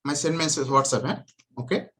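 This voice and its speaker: A man from Bangladesh, whose first language is Bengali, speaks English with a Bangladeshi accent. He works as a marketing consultant on a video call. His voice sits at a middle pitch, and his phrases come out short and clipped. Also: steady tone, a little fast, a bit bright. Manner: terse, clipped broken phrases. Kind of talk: business call.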